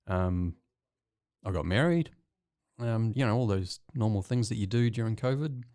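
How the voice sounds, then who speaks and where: Kiwi man, deep voice, relaxed hesitant interview guest. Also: normal speed, dry recording, clean studio sound. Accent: New Zealand. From New Zealand. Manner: relaxed, hesitant with many ums, chuckles often. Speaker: guest artist. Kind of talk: casual interview chat.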